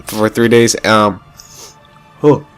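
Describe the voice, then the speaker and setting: A man's voice: fairly low pitch, steady tone, slow, a bit bright, clean tone, soft background music. A man with a stuffy, congested voice, rambling enthusiastically through casual gameplay commentary with many fillers and restarts. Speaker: gaming YouTuber.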